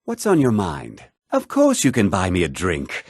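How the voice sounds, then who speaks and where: Casting: self-assured fantasy game thief, smooth talker, slightly low voice with animated swings. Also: male voice, moderate pace, no room sound, clean studio sound, exaggerated voice. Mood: self-assured, casual. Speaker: video game voice actor. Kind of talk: scripted fantasy game character dialogue.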